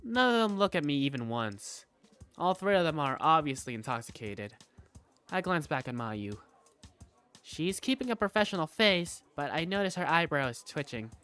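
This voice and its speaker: A narrator reading first-person scripted visual novel story text in an expressive storytelling manner. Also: mid-range voice, moderate pace, smooth, faint music behind. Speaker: narrator and lead character.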